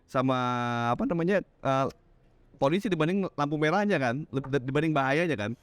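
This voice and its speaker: A man hosting a podcast, chatting casually and quickly with lots of short agreeing replies. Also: a little high, dry recording. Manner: quick, chatty, frequent backchannels. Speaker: podcast host. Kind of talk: casual interview chat.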